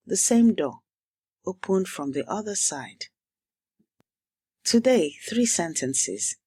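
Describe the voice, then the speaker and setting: A woman hosting a language lesson, telling a story in short, measured fragments and then repeating phrases for learners to copy. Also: low-pitched, breathy edge, no room sound. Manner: clipped, measured fragments with deliberate pauses. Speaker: language teacher and host. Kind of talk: scripted story narration and language drill.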